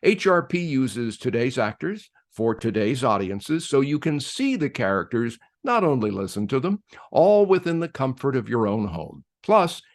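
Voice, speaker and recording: A man hosting, reading a scripted introduction to a radio play in a measured, emphatic announcer-like way. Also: medium pitch, big pitch swings.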